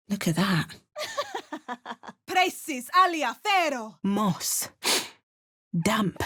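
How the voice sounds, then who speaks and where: A woman's voice: mid-range voice, fairly bright tone, exaggerated voice. A woman voicing a wry, dramatic female adventurer hero in an exaggerated mock Cockney accent, with hammy, theatrical spell chants. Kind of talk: parody game voice lines.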